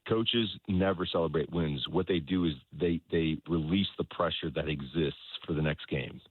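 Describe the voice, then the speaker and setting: A man speaking as a soccer coach in an interview, explaining things in a halting way, repeating words and often checking in with the listener. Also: very deep voice, husky. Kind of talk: conversational sports interview, explaining his coaching philosophy. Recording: roomy echo, dull lo-fi sound.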